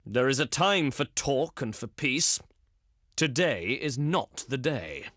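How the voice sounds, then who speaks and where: A haughty Roman diplomat for a strategy game, delivers formal lines with condescending grandeur. His voice sits a little high and swings widely in pitch, like a practiced orator. The sound is close and dry. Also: a bit bright, no echo, exaggerated voice. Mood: haughty refusal. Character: haughty Roman diplomat, male envoy of the Senate. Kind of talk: theatrical scripted game dialogue.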